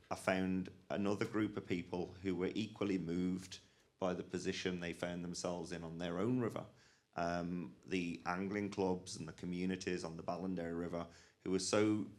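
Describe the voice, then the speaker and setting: Deep-voiced West Midlands man, earnest. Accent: West Midlands English. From West Midlands, England. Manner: earnest, passionate storytelling. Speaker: panel speaker, rivers trust worker. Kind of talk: conference panel talk. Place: conference hall.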